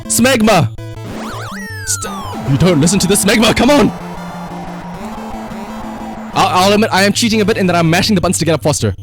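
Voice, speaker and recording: Animated South African man, higher-pitched voice. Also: moderate pace, pauses often, over music. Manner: animated, excitable, exclamatory. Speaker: livestreamer. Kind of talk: live gaming stream commentary, calling out voice commands.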